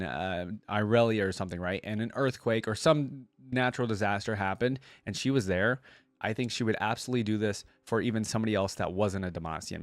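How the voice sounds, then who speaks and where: Low-voiced man, casual reaction host. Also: no echo. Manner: informal, conversational. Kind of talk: casual commentary and story read-aloud.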